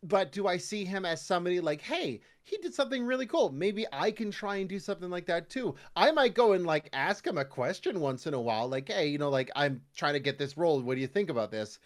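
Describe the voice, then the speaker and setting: Animated Canadian man chatting, very lively pitch. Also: quick pace, few pauses. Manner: animated, slipping into mock voices when quoting. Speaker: podcast guest, voice actor. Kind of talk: casual interview chat.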